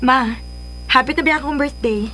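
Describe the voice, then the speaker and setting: An actress playing a pampered young daughter, sweet but willful, in a radio drama, with a Filipino accent. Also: female voice, sits high, moderate pace, over music, natural voice. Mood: sweet, excited. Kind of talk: Philippine radio drama acting.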